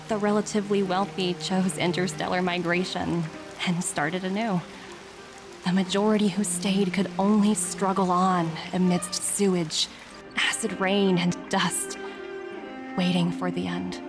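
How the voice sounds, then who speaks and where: A woman voicing a composed corporate agent in a video game, reading a formal, earnest and persuasive letter in a measured way. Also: fairly low pitch, flat delivery. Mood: measured, solemn. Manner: measured, formal, deliberate phrasing. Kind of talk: scripted game letter monologue. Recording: background music under the voice.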